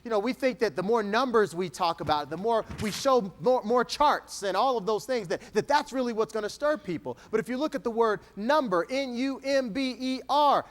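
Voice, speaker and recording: Animated Texan man on stage. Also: extremely high pitch. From Texas. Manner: animated, dramatic pauses, slow emphatic dictation; playful, jokes with the audience. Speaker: motivational speaker. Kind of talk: keynote speech to an audience.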